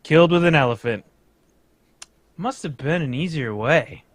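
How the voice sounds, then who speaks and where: A man's voice: a little high, animated, normal speed, dry recording. A man reading a pompous, florid old-fashioned actor in a live old-time radio table read. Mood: grandiose. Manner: florid, theatrical, says "me dear".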